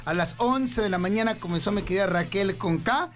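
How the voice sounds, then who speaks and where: A Mexican man hosting a live radio music show in loose, playful banter, often laughing and wandering off topic. His voice sits notably high for a man. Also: a little fast. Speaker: radio host.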